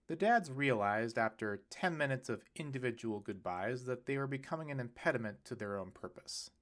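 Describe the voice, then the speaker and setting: A man's voice: mid-range voice, moderate pace. A man narrating a serialized novel aloud, lifting his voice for the characters' lines. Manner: lively reading with bright, raised voices for quoted characters. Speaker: audiobook narrator.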